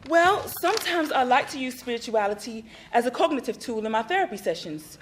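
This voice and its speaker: An actress playing a patient therapist in a stage play, asking measured, soft-spoken questions. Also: female voice, on the high side, big pitch swings. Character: calm female therapist, patient and probing.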